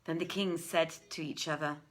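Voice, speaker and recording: A woman reading an old literary tale aloud in a theatrical, measured and deliberate manner. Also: sits low, steady tone, poor audio. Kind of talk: dramatic literary story reading.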